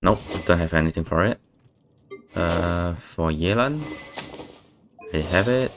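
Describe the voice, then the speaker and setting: Slow, deep-voiced streamer mumbling. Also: male voice, faint music behind. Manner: mumbling, hesitant, many fillers. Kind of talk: casual gameplay commentary.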